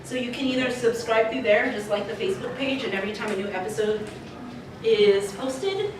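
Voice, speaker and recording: High-voiced woman in a very echoey room. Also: animated, brisk. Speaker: podcast creator and panel host. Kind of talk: informal convention panel talk. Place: indoor convention panel room. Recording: echoey, noisy convention room, distant mic.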